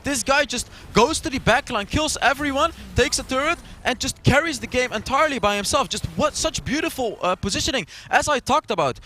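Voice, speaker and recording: High-pitched bright male esports analyst. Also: animated, a little fast, hardly any pauses, a little hiss or hum. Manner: enthusiastic, explains replays. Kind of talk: color commentary.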